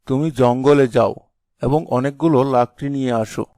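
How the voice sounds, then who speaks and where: A man playing a shrewd middle-aged village headman in a folk tale, deliberate and authoritative. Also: mid-range voice, fairly even intonation, warm tone, no echo, studio quality, lightly acted. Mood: suspicious, commanding. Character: shrewd village headman, father of a grown son.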